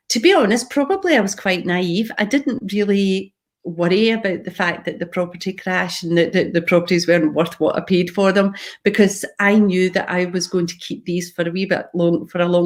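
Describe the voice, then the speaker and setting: A middle-aged woman with a Glasgow Scottish accent, telling her own story in a chatty live talk, going off on tangents and asking viewers questions directly. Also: fairly low pitch. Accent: Glaswegian Scottish. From Scotland, Glasgow. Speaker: public speaker.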